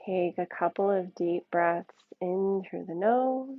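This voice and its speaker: Slow, low-voiced woman guiding yoga. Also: no echo, phone-quality sound. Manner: soothing, unhurried, gentle pauses between short phrases. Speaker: yoga teacher. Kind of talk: guided meditation, yoga class instruction.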